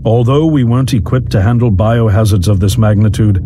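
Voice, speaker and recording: A weary older scientist played by a male actor tells his story as a scripted game monologue. His voice is on the low side, grave and carefully articulated. Loud music plays beneath his words throughout. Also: fairly quick, close to an everyday voice. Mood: grave, somber. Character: weary older male physicist confessing a secret catastrophe. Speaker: scientist character.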